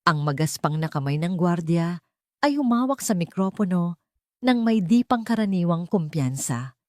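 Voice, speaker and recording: Female narrator, low register, dry close sound, solemn and dramatic storytelling delivery. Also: expressive, moderate pace, darkish tone, dry recording.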